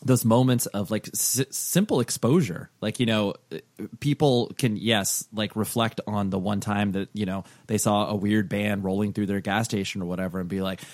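A man's voice: lowish voice, steady tone, normal speed, a little mellow, dry recording. A man hosting a podcast, chatting casually and rambling with lots of fillers and restarts. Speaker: podcast host. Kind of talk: casual interview chat.

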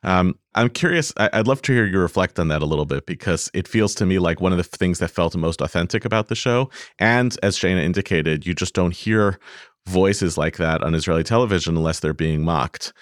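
A man's voice: sits low, fairly quick, no echo, studio quality. A man hosting an interview, asking long thoughtful questions with frequent laughs and small stumbles. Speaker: interview host. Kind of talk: conversational interview.

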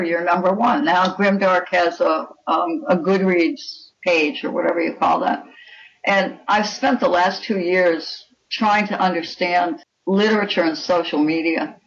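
An older woman speaking as a guest author in a conversational interview, with an opinionated, emphatic and instructive manner. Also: lowish voice. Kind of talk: conversational interview talk on writing. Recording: dull, lo-fi connection.

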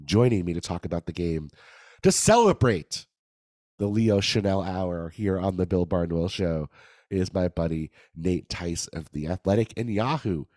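A man with a low-ish, raspy voice hosts a casual sports podcast, sounding a bit hoarse. He is playful and laughs easily. The sound is dry and close. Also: lively intonation, moderate pace, darkish tone, no room sound. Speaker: podcast host.

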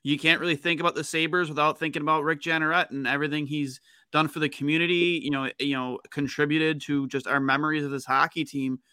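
A man's voice: fairly high pitch, little pitch movement, fast talker, bright, forceful tone, smooth, no room sound, clean studio sound. A man co-hosting a hockey podcast in casual, chatty style. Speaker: podcast co-host.

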